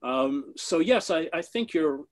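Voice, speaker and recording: A middle-aged man answering interview questions with passion, speaking in halting bursts full of fillers and restarts. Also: on the high side, expressive. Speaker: interview guest, former foreign correspondent.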